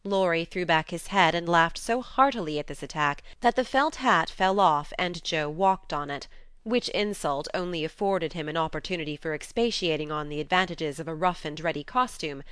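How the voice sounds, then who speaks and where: A woman narrates a literary audiobook in a fairly low, very smooth and clear voice. The recording is quiet and very dry. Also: moderate pace, no room sound.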